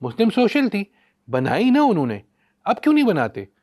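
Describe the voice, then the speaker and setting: A man's voice: high voice, wildly swooping pitch, normal speed, dark tone. A man with a Pakistani accent giving opinionated film commentary in an emphatic, sarcastic way, often posing rhetorical questions. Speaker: podcast guest, film critic.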